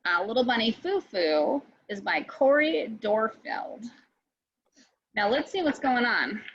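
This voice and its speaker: Female storytime host for young kids, high lilting voice, playful and chatty, slightly muffled lo-fi recording. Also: lively intonation, takes it slowly, slight background noise. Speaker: children's librarian, storytime presenter. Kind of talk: children's storytime read-aloud.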